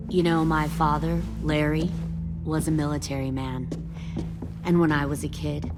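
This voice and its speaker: Menacing militia leader for a video game, middle-aged: low, creaky, controlled, cold, over music. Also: female voice, little pitch movement, moderate pace. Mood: reminiscing, stern. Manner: cold, controlled, storytelling with veiled threats. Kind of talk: dramatic video game acting. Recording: music behind the voice.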